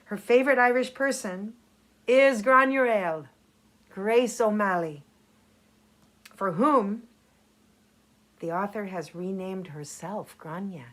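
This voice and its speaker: A woman narrates in a read-aloud storytelling style. Her pitch is mid-range and her pace is very unhurried, with deliberate, measured phrasing. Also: a bit bright. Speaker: storyteller, festival committee member.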